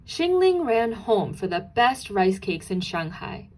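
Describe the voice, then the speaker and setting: A woman reads a children's story aloud at a slow, deliberate pace. Her pitch is middling, and she leans expressively on key and repeated words for dramatic effect. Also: big pitch swings, a little mellow. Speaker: storybook narrator.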